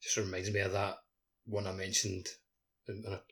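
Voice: sits low, flat delivery, normal speed, a bit bright. A podcast co-host chatting informally and a little hesitantly about video games. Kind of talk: casual gaming podcast chat.

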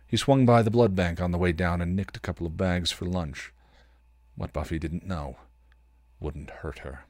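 A man's voice: deep voice, normal speed, darkish tone, no room sound. A man hosting a podcast, reading fan fiction and chatting casually. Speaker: podcast host and reader.

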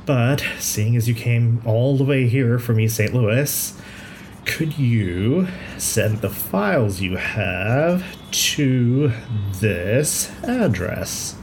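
Friendly curious doctor in an audio drama, chatty, thinks out loud, noisy ambience behind. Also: male voice, mid-range voice, expressive, very slow. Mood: curious, concerned. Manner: chatty, considerate, thinks aloud. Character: kindly, brisk hospital pathologist, nonbinary, friendly and curious.

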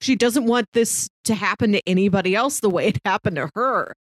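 A woman's voice: mid-range voice, a little fast, no room sound, clean studio sound. A woman co-hosting a casual, conversational discussion about film. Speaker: podcast co-host.

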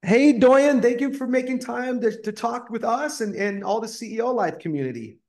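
A man's voice: very high-pitched, expressive, moderate pace, a little mellow. A man hosting a podcast interview, enthusiastic and full of praise for his guest. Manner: enthusiastic and effusive, repeats praise. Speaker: podcast host. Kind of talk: casual interview chat.

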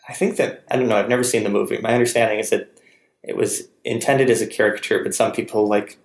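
A man hosts a casual podcast in a chatty, rambling way, poking fun at himself. His voice has a gravelly fry. The room around him is echoey. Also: lowish voice, steady tone, fast. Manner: chatty, rambling, self-deprecating. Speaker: podcast host. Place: room in Palo Alto, California.

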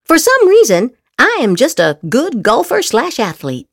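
A woman's voice: high voice, wildly swooping pitch, a little slow, no room sound, clean studio sound. Theatrical woman with wildly swooping delivery. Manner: exaggerated, emphatic inflection. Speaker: podcast host. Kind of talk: theatrical dramatic reading of social media posts.

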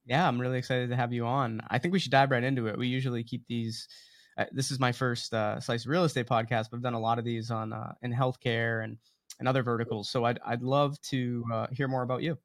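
A man's voice: mid-range voice, steady tone, quick pace, dry recording, clean studio sound. A man hosting a podcast interview, friendly with hesitant restarts. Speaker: podcast host.

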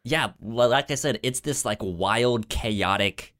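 A man co-hosting a casual film review podcast, speaking informally and haltingly, with a frequent stutter that repeats sounds and syllables. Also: medium pitch, fairly bright tone. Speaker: podcast co-host.

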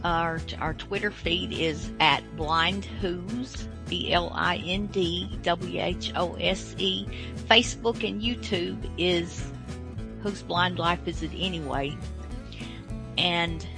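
Slow woman hosting over music. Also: fairly low pitch, fairly even intonation. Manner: folksy, halting, rambling. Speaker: radio show host. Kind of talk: casual unscripted show introduction.

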